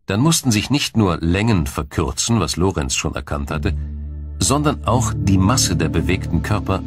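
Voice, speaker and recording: Deep-voiced man narrating a documentary over music. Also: sing-song. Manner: measured, explanatory storytelling.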